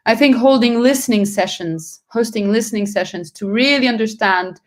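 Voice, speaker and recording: Portuguese-born woman, earnest panel guest. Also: fairly high pitch. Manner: earnest, quick restarts and self-corrections. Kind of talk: panel discussion answers.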